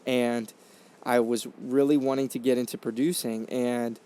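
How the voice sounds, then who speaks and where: Brief: man, 20s, podcast host, earnest and reflective, mid-pitched voice, talks through restarts, condenser mic. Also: flat delivery, unhurried, dark tone, clean tone. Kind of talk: casual storytelling monologue. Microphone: condenser microphone.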